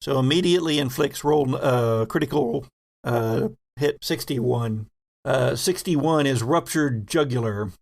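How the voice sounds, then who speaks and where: A man's voice: mid-range voice, measured pace, vocal fry, no room sound. A man narrating a horror sci-fi tabletop game as game master, with wry, vivid description.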